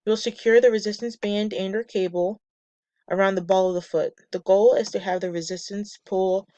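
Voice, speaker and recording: A woman presenting a class lesson from slides, a bit nasal and raspy from a cold, reading steps and lists in a measured way. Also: mid-range voice, steady tone, mellow, no room sound. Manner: measured, reads steps and muscle lists methodically. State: getting over a cold, slightly nasal and raspy. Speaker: student presenter.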